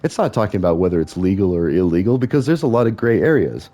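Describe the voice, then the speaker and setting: Man, deep voice, mellow tone, rambling gameplay commentary, wandering thoughts. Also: expressive, fast talker. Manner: wandering, musing, tangents. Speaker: gaming vlogger. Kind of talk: rambling commentary while playing a game.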